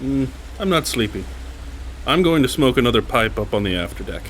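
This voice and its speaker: An actor playing a confident, skeptical big-game hunter in an audiobook drama, brisk and dismissive. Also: male voice, mid-range voice, sing-song, slight background noise, low-quality recording. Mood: relaxed.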